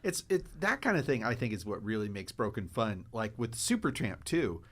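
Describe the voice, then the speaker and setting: A man co-hosting a podcast in casual chat, telling stories about making the show with animated restarts. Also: on the low side, normal speed. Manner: animated, storytelling, trailing restarts. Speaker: podcast co-host and show creator.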